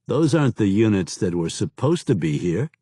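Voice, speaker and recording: A hard, suspicious officer, as a male narrator voices him in a nearly everyday tone. His delivery is flat and matter-of-fact, with a low, mellow sound. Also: moderate pace, slightly rough. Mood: wary.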